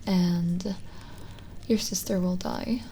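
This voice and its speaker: A woman playing a lonely, gentle witch in an ASMR roleplay, speaking softly and intimately, often in a whisper, with halting pauses. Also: fairly low pitch, fairly even intonation, a little hiss or hum. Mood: coaxing, firm. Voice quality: soft, breathy, often whispered. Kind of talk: ASMR roleplay, slow soothing scripted acting.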